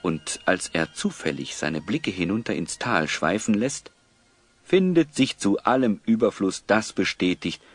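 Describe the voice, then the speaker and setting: A man's voice: lowish voice, lively intonation, measured pace, breathy edge, faint music behind. A man narrating an audio drama as a dramatic storyteller.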